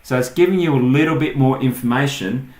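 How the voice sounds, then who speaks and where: A man's voice: mid-range voice, fairly quick, darkish tone. An Australian man giving a casual, unscripted screen-share tutorial, thinking aloud in short pieces as he goes. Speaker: online tutorial host. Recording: roomy, echoing voice recording.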